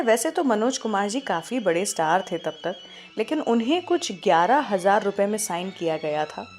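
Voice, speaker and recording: A woman with an Indian accent hosting a radio show, telling stories conversationally and addressing listeners with questions. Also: medium pitch. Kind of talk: scripted radio presenting with film trivia and song introductions.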